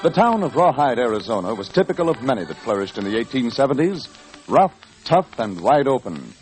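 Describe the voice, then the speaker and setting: A male announcer in dramatic 1940s radio style, narrating with big swings in pitch around a medium register. Faint music plays behind him. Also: very animated, brisk, poor audio.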